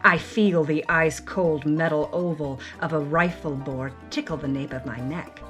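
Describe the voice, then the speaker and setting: Woman playing witty runaway actress, slightly low voice, dramatic journal reading, wry, breathless, music underneath. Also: fairly even intonation, normal speed, a bit bright. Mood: urgent.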